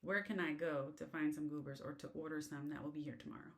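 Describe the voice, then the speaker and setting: A woman's voice: sits low, fairly even intonation, fast. An American woman talking casually and rambling with lots of fillers, as she shares advice on living abroad. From United States. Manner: chatty, rambling, many fillers. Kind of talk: casual talk to camera. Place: in a car.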